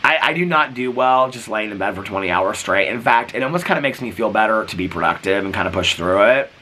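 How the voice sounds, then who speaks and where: A man's voice: lowish voice, expressive, quick pace, sharp tone, slight background noise. A man vlogging in a casual, rambling way, full of "like" and "um," while sick and losing his voice. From United States. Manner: rambling, full of likes and ums. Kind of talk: casual chatty vlog.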